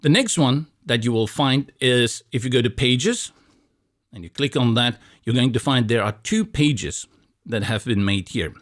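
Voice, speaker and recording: A man's voice: medium pitch, unhurried. South African man explaining step by step. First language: Afrikaans. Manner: relaxed, step-by-step explaining with light humor. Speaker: tutorial instructor. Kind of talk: screen-recorded software tutorial.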